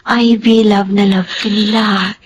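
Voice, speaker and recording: A woman's voice: medium pitch, level tone, slow, a little hiss or hum, close to an everyday voice. A woman playing a devoted, protective nanny with an earnest manner in a Filipino radio drama. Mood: soothing, earnest. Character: devoted adult nanny, gentle, patient, protective.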